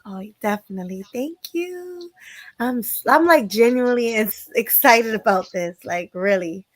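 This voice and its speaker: A woman with a higher-pitched voice hosts a casual live panel chat. Her voice sounds hoarse, as if she is losing it, and the sound is close and dry. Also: animated, normal speed, no echo. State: hoarse, losing voice with sore throat. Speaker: live stream host.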